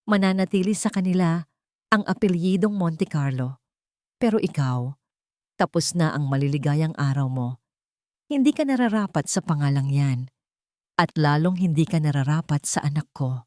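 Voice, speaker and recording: A woman's voice: deep voice, expressive, moderate pace, pauses often, darkish tone, no room sound, clean studio sound. A woman narrator voicing a cruel, wealthy older matriarch in a story, cold and commanding. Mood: cold menace. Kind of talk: storybook drama dialogue.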